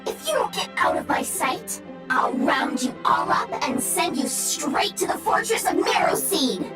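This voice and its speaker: Game role: haughty, indignant robot girl, very high and animated. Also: measured pace. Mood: menacing. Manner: snappy, indignant, threatening. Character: defensive, haughty little junk-sorting robot claiming to be a girl. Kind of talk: video game voice acting. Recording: loud music behind, distant sound. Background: loud game music.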